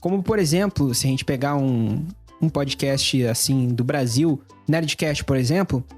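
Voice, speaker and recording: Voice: mid-range voice, unhurried, darkish tone, faint music behind. A host with a Brazilian accent, explaining things in an informal, conversational tutorial style. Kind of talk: casual explainer to camera.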